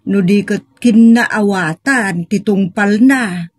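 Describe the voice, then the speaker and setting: A woman's voice: medium pitch, takes it slowly, breathy edge, dry recording, studio quality. A woman playing a patient, devout older wife in an audio drama, earnest and coaxing, with an Ilocano accent. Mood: earnest, reassuring. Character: patient, devout older wife counseling her troubled husband. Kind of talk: Filipino audio drama acting.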